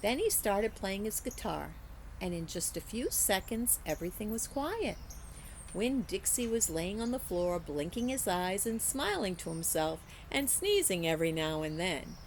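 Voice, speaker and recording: A woman reading a children's story aloud like a teacher, in a deliberate, careful storytelling way. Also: medium pitch, very animated, a little hiss or hum. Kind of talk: expressive children's story narration.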